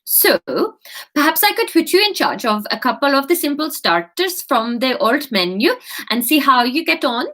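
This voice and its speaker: A woman voicing a confident female head chef with a put-on Swedish accent, brisk and matter-of-fact. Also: high-pitched, sing-song, bright, forceful tone, pitched above the performer's own voice, full character voice.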